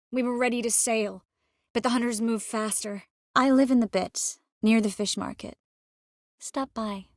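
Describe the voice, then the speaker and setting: An actress voicing a young sorceress in a video game, gentle, earnest and determined, with a measured, expressive delivery. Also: female voice, medium pitch, dry recording, clean studio sound, lightly acted. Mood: earnest, concerned. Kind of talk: video game character voice lines.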